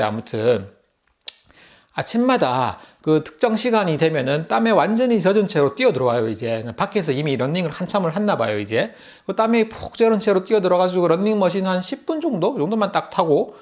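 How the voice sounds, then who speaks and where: A middle-aged man with a Busan Gyeongsang accent, telling a casual story to camera as a vlogger, chatty and laughing often. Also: a little high. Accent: Gyeongsang (Busan) Korean. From Busan, South Korea. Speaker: YouTube vlogger.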